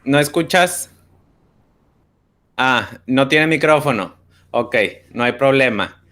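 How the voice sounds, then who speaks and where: A man's voice: mid-range voice, takes it slowly, fairly bright tone. Man teacher calling roll online. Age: 30s. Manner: friendly, brief acknowledgments. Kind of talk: online class roll call. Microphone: video call.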